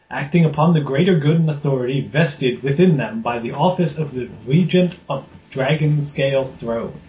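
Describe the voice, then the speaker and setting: A man's voice: a little high, darkish tone, roomy sound, muffled recording. A man from Rhode Island running a tabletop game as game master, joking offhand and reading a formal charter aloud.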